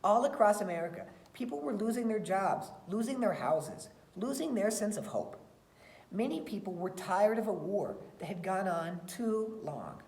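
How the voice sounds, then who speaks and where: Expressive woman teacher in an echoey room. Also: medium pitch, moderate pace. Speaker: teacher reading aloud to students. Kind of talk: expressive read-aloud and lesson talk for children. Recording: roomy, echoey sound.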